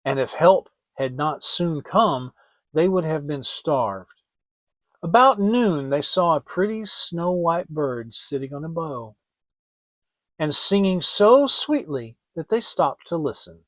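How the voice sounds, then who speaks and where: A man giving an expressive, theatrical audiobook reading of a fairy tale as storyteller. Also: on the high side, slow pace, no echo.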